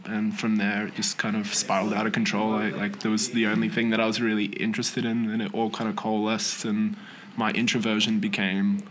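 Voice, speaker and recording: A man's voice: fairly low pitch, completely flat delivery, a little fast, a bit bright. A young man from Australia, a guest artist chatting casually and rambling with many fillers in a noisy library. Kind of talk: casual interview chat. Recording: faint background noise in a library.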